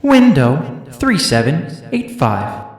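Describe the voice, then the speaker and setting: A man performs a formal alien announcer in a radio play. The delivery is extremely slow with swooping intonation, in a roomy echo. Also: on the high side, wildly swooping pitch, slight background noise, exaggerated voice. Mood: impersonal. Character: impersonal alien announcer calling out window numbers. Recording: echoing announcement sound.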